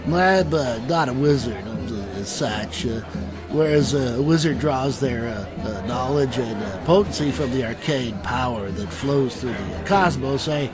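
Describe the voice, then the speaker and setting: An actor playing a fussy, pedantic sage and inventor in a comic fantasy audio drama, halting, long-winded and pompous. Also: male voice, medium pitch, animated, lightly acted. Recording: music playing behind the voice.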